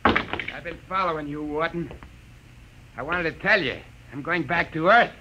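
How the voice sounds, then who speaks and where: Radio drama villain: jealous, unhinged crewman, very sharp forceful delivery, noisy old recording. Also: a little high, moderate pace. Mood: sneering, menacing. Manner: menacing, sneering, agitated. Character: jealous, unhinged, murderous spaceship crewman turned gunman.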